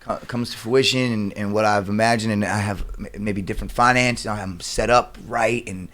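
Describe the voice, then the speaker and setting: A man speaking as a rapper guest in a casual radio interview, informal and halting, repeating phrases for emphasis. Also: on the low side, fairly even intonation, a bit bright.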